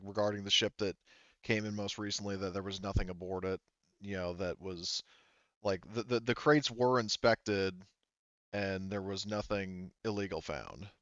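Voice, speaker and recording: A man narrating a tabletop roleplaying game as game master, in a halting, rambling way, thinking aloud as he goes. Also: low-pitched, fairly even intonation, fairly bright tone, dry recording, muffled recording.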